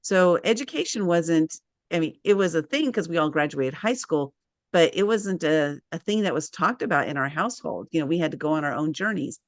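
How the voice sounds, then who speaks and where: A woman's voice: low-pitched, brisk, no echo. A woman hosting a casual author chat, telling stories and acting out her own quotes. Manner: animated storyteller, acts out quotes. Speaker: host.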